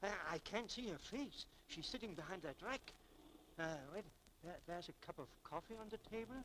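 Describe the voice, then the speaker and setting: An actor playing a kindly, hesitant, stammering coworker and loyal friend in a 1940s radio drama, on a noisy old recording. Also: male voice, high voice, animated, normal speed. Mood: hesitant, excited.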